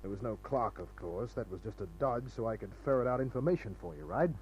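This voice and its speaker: An actor playing a wry, cool-headed private detective in an old radio noir, narrating and talking in terse, clipped phrases. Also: male voice, lowish voice, expressive, quick pace, darkish tone, slight background noise, lightly acted. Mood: cool, confident. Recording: muffled old radio recording.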